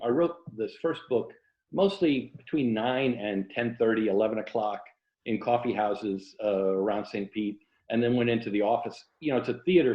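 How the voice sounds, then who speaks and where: Older-ish american guy chatting about his work in an interview, reflective, roomy dull recording. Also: medium pitch. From Tampa, Florida, United States. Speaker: interview guest, writer and former journalist. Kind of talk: casual interview talk. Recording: roomy echo, dull muffled sound.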